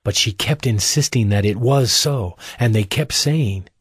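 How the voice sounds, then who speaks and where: A man giving a scripted, solemn and measured narration for an audio drama of scripture. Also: fairly low pitch, slightly rough, no room sound, clean studio sound.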